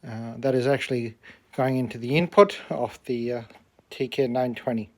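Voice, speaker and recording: A man's voice: medium pitch, steady tone, moderate pace, a little mellow. A man giving a casual, unscripted equipment demonstration, hesitating and thinking aloud as he goes. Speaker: vintage audio hobbyist demonstrating equipment.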